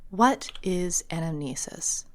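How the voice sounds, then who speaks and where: A woman's voice: lowish voice, animated, slow. A woman narrator reading scripted rulebook text for a game.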